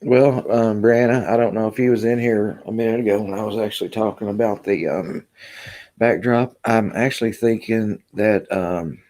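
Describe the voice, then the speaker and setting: Kentucky-accented man, livestream host, dark warm tone, loose chatty talk full of ums. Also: on the low side, fairly even intonation, moderate pace. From Kentucky, USA. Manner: rambling, answers chat comments, many ums. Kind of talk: casual live-chat stream.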